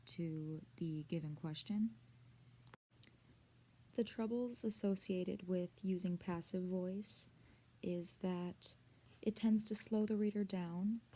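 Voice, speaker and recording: Female lesson presenter, soft mellow tone, slow measured delivery, clear instructional read. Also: fairly low pitch, a little hiss or hum. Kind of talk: scripted instructional narration.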